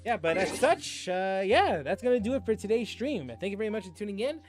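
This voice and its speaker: A man giving casual live stream commentary as a game streamer, halting and repeating his phrases. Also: high voice, expressive, soft background music.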